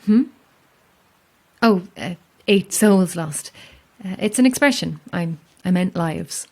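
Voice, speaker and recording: An actress playing a guarded, sceptical lighthouse keeper in a horror audio drama, speaking in a measured, dry way. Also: female voice, on the low side, animated, a little mellow, exaggerated voice. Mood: guarded, sombre. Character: guarded, sceptical lone lighthouse keeper, woman, wryly amused.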